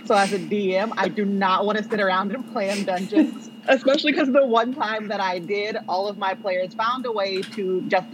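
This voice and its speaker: LA streamer, breezy self-amused talk, noisy line. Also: female voice, mid-range voice, fairly even intonation, normal speed, few pauses. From Los Angeles. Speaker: panelist, streamer. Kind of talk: casual panel chat.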